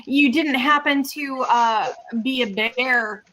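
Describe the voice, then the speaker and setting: A woman's voice: sits high, slow, sharp tone. A woman playing a tabletop game online, speaking casually in character.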